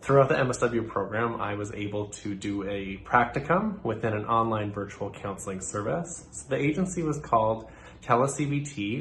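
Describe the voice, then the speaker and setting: Young guy from nova scotia giving a prepared talk, low-pitched, measured and earnest, a few ums, faint hiss behind. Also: fairly bright tone. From Nova Scotia, Canada. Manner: earnest and measured, with occasional fillers. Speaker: social work student presenting a capstone project. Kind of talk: prepared presentation.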